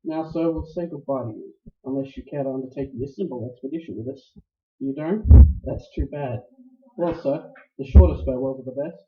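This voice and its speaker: An actor playing a suave, genial criminal schemer, pompous and courtly, in 1940s radio noir style. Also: male voice, medium pitch, a little fast, very noisy, lightly acted. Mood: courtly, smug. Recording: dull, muffled recording.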